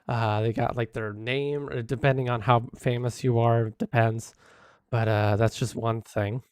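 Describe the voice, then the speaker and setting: A hoarse man live-streaming, casually critiquing videos with many fillers and restarts. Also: mid-range voice, fairly even intonation, unhurried, a little mellow, dry recording, clean studio sound.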